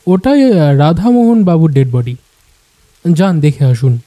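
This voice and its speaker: A man playing a brisk police sub-inspector in a detective audio drama. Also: on the high side, sing-song, dark tone, no room sound. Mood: brisk, matter-of-fact. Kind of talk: Bengali audio drama acting.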